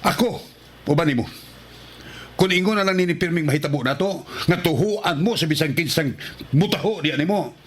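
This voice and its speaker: A man acting a high-voiced, embattled husband. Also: moderate pace, natural voice. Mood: exasperated. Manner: exasperated, defensive. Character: embattled husband defending himself to jealous wife. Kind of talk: melodramatic radio drama acting. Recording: slightly noisy radio drama recording.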